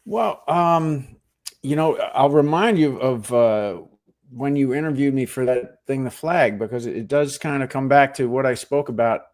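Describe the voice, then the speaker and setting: Middle-aged jersey guy, easygoing storyteller, jokes at his own expense, voice drops low at the end of thoughts. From New Jersey, USA. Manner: relaxed storytelling, self-deprecating, trailing off into low asides. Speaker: guest, actor and Marine veteran. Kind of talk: casual interview chat.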